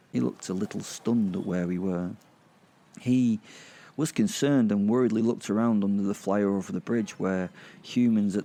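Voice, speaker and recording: A man narrates a horror audio drama in character. His low voice is heavy with creak and dark in tone. He tells it sardonically, halting and repeating phrases for dramatic weight. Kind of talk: first-person dramatic storytelling in character.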